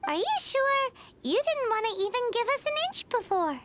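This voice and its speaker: A woman voicing a tiny, cute and bossy fairy companion with a squeaky childlike voice in a video game. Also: sing-song, moderate pace, very noisy. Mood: surprised. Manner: refers to self in third person, outspoken.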